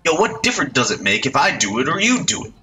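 A man's voice: mid-range voice, sing-song, fast talker, sharp tone, slight background noise, voice pushed up. A man voicing a bossy young male delinquent in red who talks in slang and snarls.

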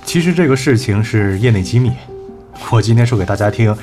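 Man playing a low-voiced, assured executive. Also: expressive, a little mellow, soft background music, lightly acted. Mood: confident, persuasive. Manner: measured, businesslike, persuasive. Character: cool, assured male talent-agency executive. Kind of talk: Chinese audio drama acting.

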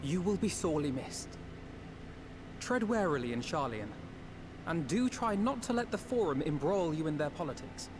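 A man's voice: on the high side, expressive, moderate pace, noisy background, lightly acted. A man voicing a youthful elven nobleman in a video game, courteous, formal and resolute. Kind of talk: video game cutscene acting.

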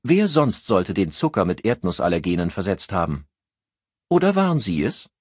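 A man's voice: low voice, very animated, moderate pace, darkish tone, husky, no echo, clean studio sound, exaggerated voice. A man voicing a brusque, annoyed woman police investigator, curt and accusing, in an audiobook crime story.

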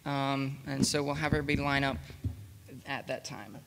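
A man speaking unscripted as a panelist, with a hesitant, halting delivery. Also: mid-range voice, vocal fry, background noise. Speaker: panelist, project leader. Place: convention panel room with audience. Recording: reverberant hall, bright sound.

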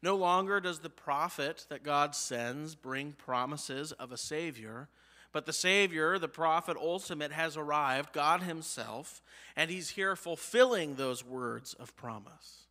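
A man in his thirties with an American accent, preaching a sermon from a church pulpit. He lifts his voice on key phrases and lets line endings fall low and soft. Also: measured pace. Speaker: pastor. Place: church sanctuary, behind a pulpit. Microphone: pulpit mic, medium-wide camera.

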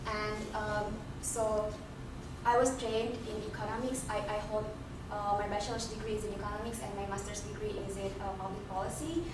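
A woman's voice: medium pitch, steady tone, moderate pace. A young woman from Indonesia giving a community presentation, hesitant with many ums and restarts. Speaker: presenter, international fellow. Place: community center meeting room. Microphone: far camera. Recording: echoey room from a far camera, noisy.

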